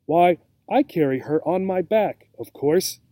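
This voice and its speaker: Storybook crocodile mother, male reader: big, obliging, flustered, pitched up, mellow edges. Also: expressive, a little slow, dry recording. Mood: surprised.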